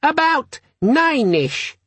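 A tiny storybook demon, squeaking very high above everything. It is pedantic and sulky, with a needling, falsely chirpy manner and swooping pitch. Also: male voice, big pitch swings, very slow, a bit bright, no echo, heavily acted. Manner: indignant, needling, overly chirpy.

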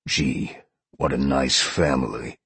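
Male narrator as impatient lion-man, deep and very rough, growling, sardonic, blunt audiobook character. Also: expressive, measured pace, dry recording, exaggerated voice. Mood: grumbling.

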